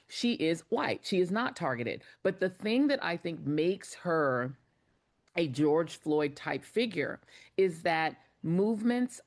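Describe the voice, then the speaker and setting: Woman, very low voice, measured, emphatic political commentator. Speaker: guest commentator. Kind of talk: political panel discussion.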